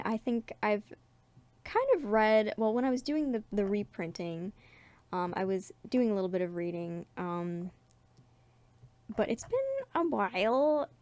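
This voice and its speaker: A woman's voice: fairly high pitch, darkish tone, dry recording. A woman chatting casually to viewers as a video creator, informal, giggly and self-deprecating. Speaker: webcomic artist and video creator.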